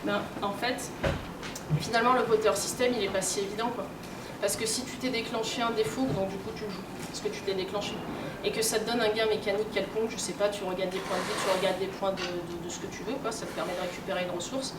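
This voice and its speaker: A woman's voice: medium pitch, steady tone, fast. A woman giving an informal conference lecture in a conversational, hesitant way. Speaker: conference speaker. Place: convention talk room. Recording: echoey room, distant mic, clear noise.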